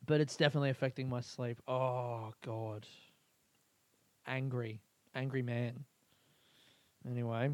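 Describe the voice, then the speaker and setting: A man's voice: medium pitch, measured pace, pauses often, no echo. A man hosting a podcast, rambling through a casual comedic monologue and swearing freely while he laughs at his own jokes. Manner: crude, swears a lot, laughs often; animated, rambling storytelling. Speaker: podcast host.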